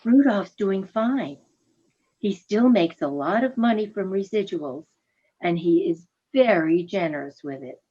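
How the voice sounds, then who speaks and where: A woman playing a gentle, affectionate reindeer wife in a genteel radio play style. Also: medium pitch, expressive, measured pace, husky. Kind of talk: reader's theatre radio play acting. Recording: dull, muffled recording.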